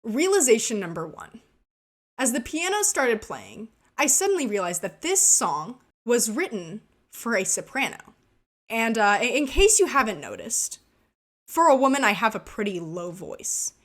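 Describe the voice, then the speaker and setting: A woman's voice: fairly high pitch, very animated, a little slow. A woman vlogger telling an embarrassing story in a casual, self-deprecating way while narrating gameplay. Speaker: gaming vlogger.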